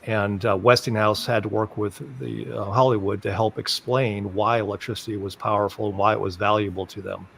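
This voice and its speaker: A man's voice: on the low side, level tone, gravelly, a bit bright, a little hiss or hum. A man speaking on a forum panel, thinking aloud with many ums and restarts. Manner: halting, full of ums and restarts. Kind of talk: discussion on a web forum call.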